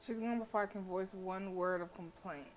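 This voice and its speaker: A woman's voice: medium pitch, fairly even intonation, normal speed, a little hiss or hum, low-quality recording. A woman streaming a game, reading story text aloud with blunt, sassy, casual asides. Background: game music.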